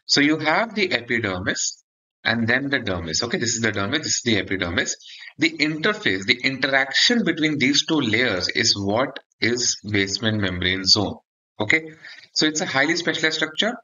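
A man's voice: medium pitch, bright, forceful tone, muffled recording. A man gives an explanatory medical lecture for exam students, often checking in with a short "okay". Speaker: dermatology lecturer.

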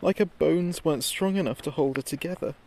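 An actor playing a frightened late-night radio DJ giving a halting, haunted field report in a horror audio drama. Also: male voice, fairly high pitch, creaky, darkish tone. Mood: frightened, guilty. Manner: halting, hesitant, haunted delivery. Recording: noisy outdoor field recording with ambience.

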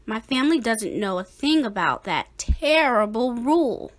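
A woman's voice: a little high, big pitch swings, moderate pace. A woman voicing a young, easily frustrated squirrel boy in a storybook, protesting with emphasis. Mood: grumbling.

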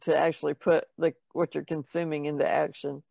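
A woman's voice: low voice, flat delivery, a little fast, vocal fry, dark tone, dry recording, studio quality. A woman co-hosting a podcast, chatting casually in a tentative, hedging way. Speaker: podcast co-host, artist. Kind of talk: casual conversation.

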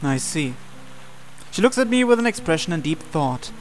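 A man's voice: fairly high pitch, very animated, moderate pace. A young man reading a visual novel's narration and dialogue aloud as the host. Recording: music behind the voice. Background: game background music.

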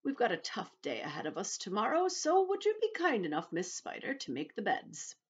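Woman doing a slow, dignified old grasshopper. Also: medium pitch, huge pitch swings, husky, voice pushed up. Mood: weary, firm. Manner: slow, stern, weary. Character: old, dignified, scornful male green grasshopper.

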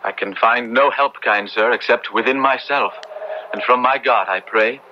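A man's voice: mid-range voice, normal speed, natural voice. A man playing an earnest, principled young clergyman in an old radio drama, speaking formally and with passion. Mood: troubled, earnest. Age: young adult. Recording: muffled, faintly noisy old radio recording.

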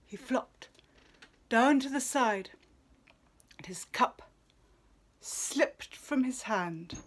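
A middle-aged woman recites epic verse with drama. Her voice is fairly high, and she takes it very slowly, measured and often hushed. She is recorded up close in a small room. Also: lively intonation. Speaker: translator reading own work. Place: small plain room indoors.

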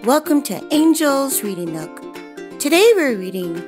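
A woman's voice: high voice, very animated, normal speed, a little mellow, soft background music. A woman reading a children's storybook as host, with sing-song, carefully spaced phrasing. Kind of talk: expressive storybook read-aloud.

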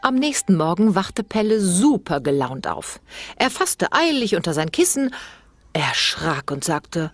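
A woman's voice: on the low side, very animated, measured pace. A woman reading a children's story as scripted narration, expressively acting out the dialogue.

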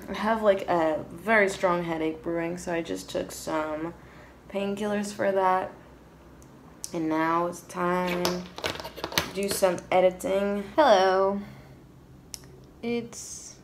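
A groggy young woman talking to her phone in a small room at home, creaky voice, rambling through her day. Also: lowish voice, takes it slowly, pauses often, a little hiss or hum. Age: 20s. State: tired, groggy. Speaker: vlogger. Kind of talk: casual chat to camera. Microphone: phone or camera at arm's length.

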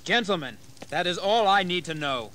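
An actor playing a bold, ambitious colonial rebel leader in a history radio drama, speaking in a proud, formal, declamatory manner. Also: male voice, high-pitched, expressive, moderate pace, fairly bright tone. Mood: rousing. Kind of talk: dramatized history audio acting.

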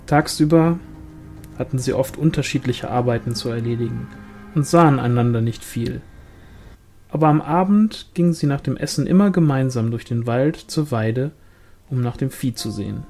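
A man's voice: mid-range voice, normal speed, a little mellow, faint music behind. A man reading a novel aloud as scripted narration, in the manner of an audiobook. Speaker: audiobook reader.

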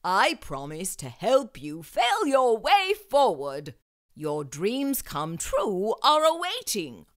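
A woman voicing a kindly, encouraging male bat headmaster in a storybook, with a slow, grand delivery. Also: mid-range voice, wildly swooping pitch, a bit bright, no room sound, clean studio sound, exaggerated voice.

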